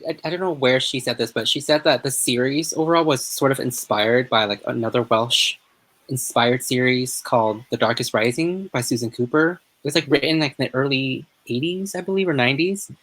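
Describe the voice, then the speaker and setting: A woman's voice: a bass voice, a little fast, poor audio. A woman co-hosting a book discussion in casual chat, rambling a little hesitantly and self-deprecatingly.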